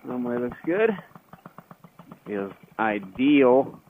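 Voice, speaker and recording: A man's voice: medium pitch, expressive, very slow, phone-quality sound, slight background noise. A man chatting casually and slangily, like a laid-back bro, over a paramotor pilot's intercom headset. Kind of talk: casual chat while flying. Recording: headset intercom with music behind.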